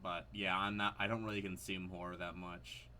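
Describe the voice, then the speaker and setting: A man's voice: low voice, little pitch movement, normal speed, sharp tone, a little hiss or hum. A man giving casual, unscripted game commentary, hesitant and rambling as he thinks aloud. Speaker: video creator commenting on a horror game.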